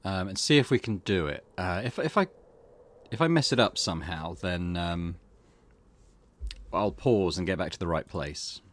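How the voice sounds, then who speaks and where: A man's voice: deep voice, expressive, normal speed. A man hosting a game playthrough, explaining and thinking aloud, often correcting himself mid-sentence. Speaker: let's play host. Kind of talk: game commentary.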